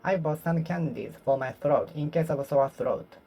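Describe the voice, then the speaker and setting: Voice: mid-range voice, moderate pace, dark tone. An English learner whose first language is Japanese, practising speaking alone, repeating phrases and correcting themselves as they go. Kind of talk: solo speaking practice, talking to oneself.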